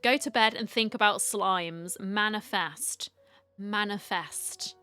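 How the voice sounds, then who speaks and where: A woman's voice: medium pitch, slow pace, bright, forceful tone. A woman streamer giving casual, playful, teasing commentary as she plays through a game. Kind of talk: casual reaction commentary, reading dialogue aloud.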